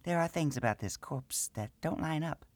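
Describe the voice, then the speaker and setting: A man's voice: on the high side, lively intonation, normal speed, dry recording, pitched above the performer's own voice. A man voicing a jumpy, scolding middle-aged female gnome priestess in a storybook reading. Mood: brisk.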